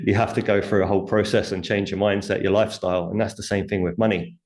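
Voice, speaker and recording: A man with a low-pitched voice talks as an interview guest, laying out his reasoning step by step in conversational speech. He slips into imagined quotes as he explains. The room echoes noticeably around his voice. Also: darkish tone. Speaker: interview guest, writer and speaker on money. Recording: roomy, echoing sound.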